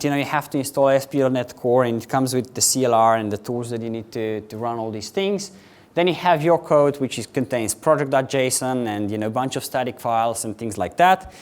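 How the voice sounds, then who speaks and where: A young man from Slovenia with a Slovenian accent, giving a quick, chatty technical conference talk to a live audience. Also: medium pitch. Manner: quick, chatty presenter with filler words, addressing a live audience. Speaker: conference speaker.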